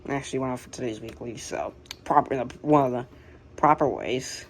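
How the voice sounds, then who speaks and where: An American woman casually showing a hobby collection, speaking in a halting, unscripted, plain way on an amateur home recording. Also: rumbling low, a little hiss or hum. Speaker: radio collector presenting the collection. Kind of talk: casual hobby show-and-tell narration.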